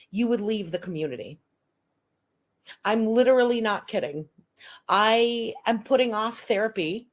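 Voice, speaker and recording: A woman streamer talking candidly and bluntly on a livestream, with frequent swearing. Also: medium pitch. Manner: blunt and profane. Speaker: online streamer.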